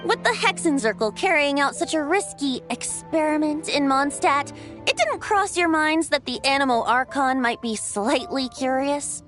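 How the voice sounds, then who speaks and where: A woman's voice: sits high, lively intonation, normal speed, fairly bright tone, faint music behind. A woman voicing a mischievous wandering bard in a video game, breezy and wry.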